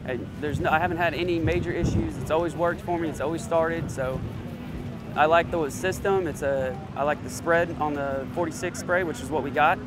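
A man's voice: on the high side, steady tone, fairly quick, background noise. A man, a general manager, giving a casual outdoor testimonial. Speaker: lawn service general manager. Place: outdoors at a trade expo. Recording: outdoor interview, clear music underneath.

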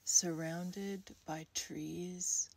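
Female meditation guide: lowish pitch, soft husky breath, soothing delivery that leaves space between phrases. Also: steady tone. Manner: soothing, unhurried, with long pauses between short phrases. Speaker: guided meditation leader, creativity coach. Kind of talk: guided meditation, gentle scripted narration.

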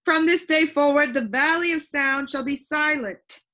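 A woman's voice: high voice, normal speed, bright, forceful tone, pitched above the performer's own voice. A woman voicing a stern, angry ruler in a storybook, declaiming in a formal, imperious manner. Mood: furious, imperious. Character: stern, furious ruler issuing a formal decree.

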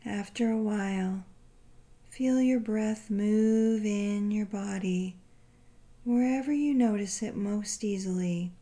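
Female meditation guide: relaxed, warm tone, very slow pace, gentle and soothing, spacious pauses. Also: medium pitch. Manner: gentle, unhurried, soothing delivery with long pauses.

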